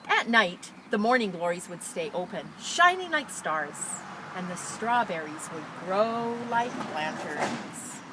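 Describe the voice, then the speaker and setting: A woman who works as a teacher librarian, talking and reading a picture book to children in an expressive, enthusiastic way. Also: lowish voice, takes it slowly, hardly any pauses, noisy background. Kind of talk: children's story read-aloud with casual introduction.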